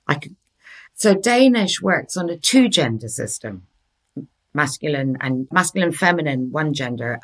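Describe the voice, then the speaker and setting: A middle-aged woman from Scotland, a translator patiently explaining in an interview, often correcting herself. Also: sits low. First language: Norwegian and English, bilingual. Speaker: guest, literary translator.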